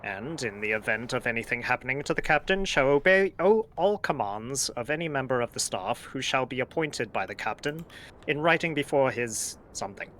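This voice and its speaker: Man playing a pedantic scientist, stiff formal diction, bright tone, lively pitch. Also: normal speed, a little hiss or hum, natural voice. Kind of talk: voicing a game character.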